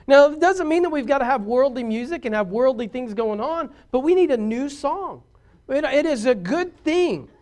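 Man, pastor; high-pitched voice, preachy and emphatic, swinging between soft asides and loud calls. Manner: preachy, rising to emphatic declarations. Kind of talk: sermon.

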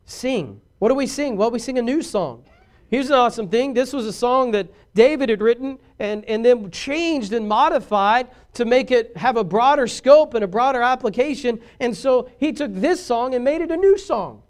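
Man, pastor; high-pitched voice, preachy and emphatic, swinging between soft asides and loud calls. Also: lively intonation, normal speed. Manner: preachy, rising to emphatic declarations. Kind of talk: sermon.

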